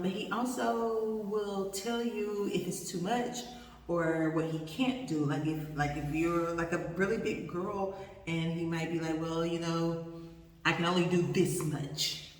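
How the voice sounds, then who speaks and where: A woman's voice: mid-range voice, darkish tone. Casual female vlogger, candid and emphatic through repetition, mid-range voice, distant mic with roomy reverb. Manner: candid, repeats phrases for emphasis. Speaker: vlogger giving a personal review. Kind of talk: casual chat.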